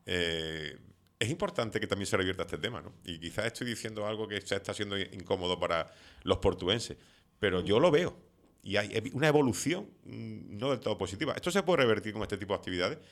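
Middle-aged guy hosting an interview, really low voice, talks fast. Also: expressive. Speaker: host interviewer. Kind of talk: conversational interview with long opinionated questions.

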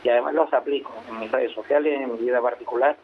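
A man's voice: medium pitch, steady tone, quick pace, narrow, tinny audio, slight background noise. A man from the Canary Islands with a slight peninsular Spanish accent, giving passionate, emphatic political opinions as a radio guest. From Canary Islands, Spain.

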